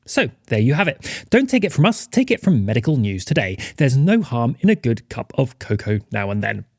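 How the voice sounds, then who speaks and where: A man with a mid-range voice that moves around in pitch a lot. He delivers a playful scripted podcast intro, recorded very dry and close. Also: sing-song, brisk, a little mellow, no echo. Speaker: podcast host.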